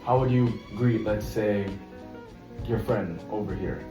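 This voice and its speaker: A man's voice: lowish voice, a little slow, recorded from a distance. A young man from Jamaica, a college freshman, chatting casually in an interview and calling the interviewer bro. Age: young adult. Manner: relaxed, says bro a lot. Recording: roomy, off-mic, music behind.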